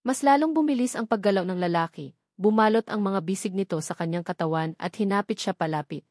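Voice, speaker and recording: A woman's voice: medium pitch, a little fast, a little mellow, dry recording, clean studio sound. Female audiobook-style narrator, scripted dramatic delivery, average register, no room sound. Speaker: story narrator.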